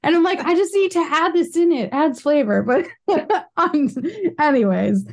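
A woman's voice: high voice, animated, a little slow. An American woman in her thirties hosting a podcast interview, chatting casually with rambling side notes and frequent laughs. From United States. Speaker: podcast host.

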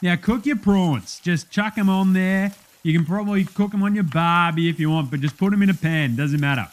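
A man's voice: sits high, brisk, natural voice. A man voicing a brash, matey Australian bloke hosting a spoof cooking show, giving blokey, slangy, casual instructions. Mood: matey, instructive. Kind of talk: parody TV cooking demonstration.

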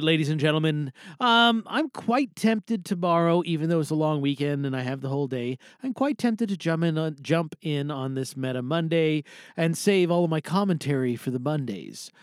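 A man's voice: fairly high pitch, fairly bright tone, dry recording, clean studio sound. A man hosting an audio theatre podcast, chatting casually to listeners in a rambling way. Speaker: podcast host and voice performer.

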